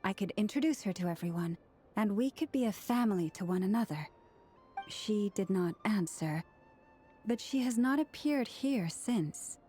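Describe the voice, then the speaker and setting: A woman playing a gentle, earnest priestess in a video game, speaking in a formal, measured and polite manner. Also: mid-range voice, expressive, faint music behind, lightly acted. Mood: wistful, troubled. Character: gentle, earnest adult priestess of a moon-worshipping order.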